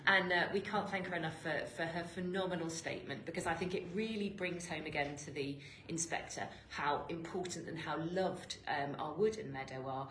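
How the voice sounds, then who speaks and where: A woman's voice: low-pitched, fairly even intonation, brisk, hardly any pauses, fairly bright tone. A woman, co-hosting a casual live-stream recap and praising people with enthusiasm. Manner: enthusiastic, gushing, laughs often. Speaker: campaign co-host. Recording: echoey room, faint hiss.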